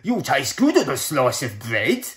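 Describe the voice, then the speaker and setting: A man voicing a big, hungry, gullible monster in a children's storybook, loud and slow-witted. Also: mid-range voice, big pitch swings.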